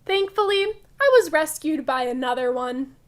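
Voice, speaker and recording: A woman voicing an excitable child storyteller from a picture book, with animated, emphatic delivery. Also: sits high, normal speed. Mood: excited.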